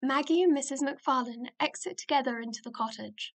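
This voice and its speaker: A woman's voice: high-pitched, fairly quick. A woman reading stage directions as the narrator of a radio play in scripted narration.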